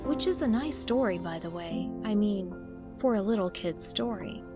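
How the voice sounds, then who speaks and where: A woman's voice: medium pitch, animated, normal speed, lightly acted. A young woman plays a guarded teenage girl with a mid-range voice and a wry, dismissive way of talking. Strong music runs under her lines. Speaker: daughter.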